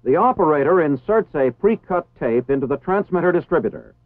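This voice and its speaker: A man's voice: a little high, expressive, brisk, no room sound. Tinny vintage American male film narrator. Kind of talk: formal scripted instructional narration. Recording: tinny phone-like 1960s film soundtrack.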